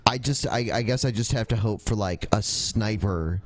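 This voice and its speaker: Low-voiced, halting man. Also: dry recording. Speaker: podcast co-host. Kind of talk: casual chat.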